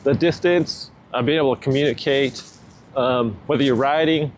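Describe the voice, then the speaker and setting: A man with a medium-pitched voice talks casually through an unscripted product review. His delivery is loose and informal, with frequent checking questions. He is recorded outdoors, with some faint noise behind him. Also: normal speed. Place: outdoors near water, walking.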